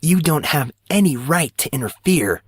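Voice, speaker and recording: Audiobook role: arrogant, contemptuous schoolboy bully, raised pitch, sneering delivery male narrator performing. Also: expressive, moderate pace, breathy edge, no room sound, studio quality. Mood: defiant. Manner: haughty, contemptuous. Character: sneering, arrogant teenage rich boy bully.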